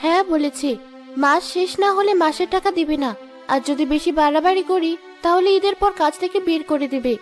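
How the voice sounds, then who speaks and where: A poor, worried housemaid acted by a woman in a cartoon drama. Her voice is extremely high and plaintive, pleading as she talks, with light music underneath. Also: fairly quick. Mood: sorrowful. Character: poor anxious housemaid, wife and mother, pleading.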